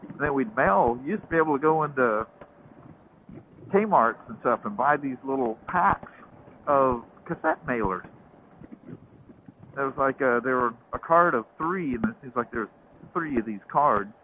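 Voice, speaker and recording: A man's voice: mid-range voice, expressive, moderate pace, pauses often. Southern man rambling on a motorcycle. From American South. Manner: rambling, storytelling. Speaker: vlogger. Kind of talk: casual chat while riding. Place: outdoors on a motorcycle. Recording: tinny intercom with faint road noise.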